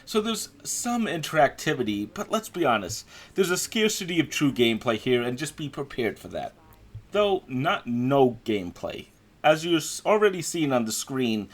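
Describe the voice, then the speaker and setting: A man giving a conversational, opinionated voice-over review as a video game critic. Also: medium pitch.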